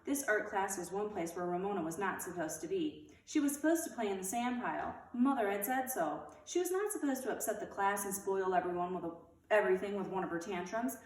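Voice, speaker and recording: A woman reading a children's storybook aloud in an expressive narrating style. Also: medium pitch, fairly quick. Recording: roomy echo, distant mic.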